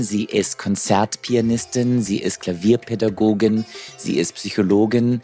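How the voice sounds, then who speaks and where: Low-voiced man hosting a podcast. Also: a little mellow, dry recording. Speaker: podcast host. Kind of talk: podcast interview.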